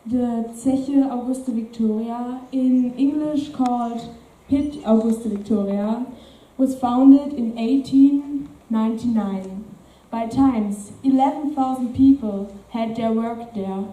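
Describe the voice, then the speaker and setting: A woman's voice: a little high, takes it slowly, warm tone. A woman reading a narration carefully during a school play. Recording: echoey room from far off.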